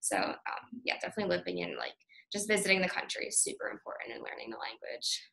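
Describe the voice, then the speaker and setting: Young woman, first language polish, lowish gravelly voice, bright, lots of ums on a student q&a panel. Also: sing-song, fast talker, low-quality recording. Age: young adult. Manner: chatty, many ums and restarts. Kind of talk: Q&A panel answer.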